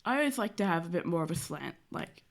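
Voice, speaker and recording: Young woman, stuffy cold-nosed voice, slightly low pitch, rambling casual stream chat. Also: sing-song, fast, creaky. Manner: rambling, lots of "like" fillers. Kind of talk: casual chat while drawing.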